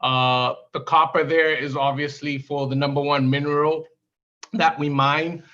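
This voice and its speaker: A man's voice: a little high, little pitch movement, measured pace, sharp tone. A man from Zambia, a guest golfer, talking in a friendly, enthusiastic way. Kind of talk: casual interview chat. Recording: lo-fi stream audio.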